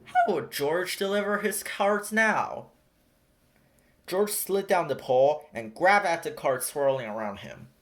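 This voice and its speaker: A storybook reader giving an expressive read-aloud narration for children. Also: mid-range voice, a little slow.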